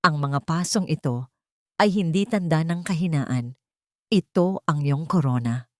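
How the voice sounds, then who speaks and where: A woman's voice: deep voice, unhurried, no room sound, studio quality. A woman as a stern, shrewd old grandmother. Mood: solemn, vengeful. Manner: blunt, commanding, solemn. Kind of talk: dramatic audio storybook dialogue.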